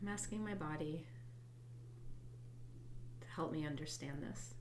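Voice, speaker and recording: A woman with a low, congested voice talks gently through a self-help tapping routine, thinking out loud and sometimes sinking into quiet, whispered repetitions. Her nose sounds stuffed up from a head cold. A faint hum sits under the recording. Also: unhurried. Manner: soft, reflective, drifts into quiet whispered tapping phrases. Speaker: host and EFT tapping coach. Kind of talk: guided tapping session, spoken aloud.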